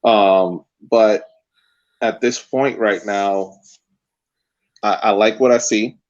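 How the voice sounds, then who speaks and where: A man co-hosting a casual sports podcast, hesitant and halting, often restarting his sentences. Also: lowish voice, steady tone, highs cut off. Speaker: podcast co-host.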